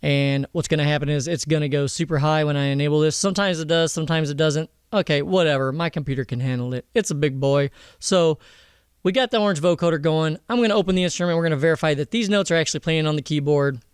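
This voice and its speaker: A man with a high, clear voice walks listeners through software in a casual tutorial. His delivery is slangy and joking, with an irreverent streak. He is recorded close and dry, as a voice-over. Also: fast talker, no echo. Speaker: tutorial presenter.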